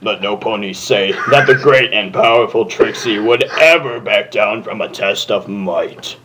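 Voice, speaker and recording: A man's voice: fairly low pitch, unhurried, very noisy, pitched below the performer's own voice, lightly acted. Man putting on a pompous boastful magician mare. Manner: pompous, declamatory. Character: boastful female magician pony, speaking in third person.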